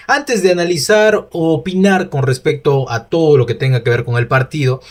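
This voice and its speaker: A man from Peru with a Peruvian Spanish accent, giving a solo football opinion talk, chatty, with frequent restarts and rhetorical asides. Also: on the high side.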